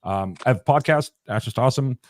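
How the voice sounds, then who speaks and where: Relaxed man on a dry mic. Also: medium pitch, quick pace, no room sound. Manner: relaxed, rambling, self-deprecating. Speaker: podcaster guest.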